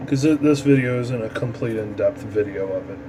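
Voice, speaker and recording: A man explains a procedure step by step in an informal, halting tutorial manner, like an instructor walking someone through it. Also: medium pitch. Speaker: amateur radio instructor. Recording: noisy, steady background hum.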